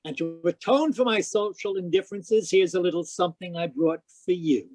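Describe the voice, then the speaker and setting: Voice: medium pitch, a little fast, a little mellow, lightly acted, poor audio. An actor playing a cocky, wisecracking hard-boiled private detective with glib, florid delivery, in old-time radio style. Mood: glib, brisk. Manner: glib, florid wordplay, teasing. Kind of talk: 1940s-50s radio detective acting.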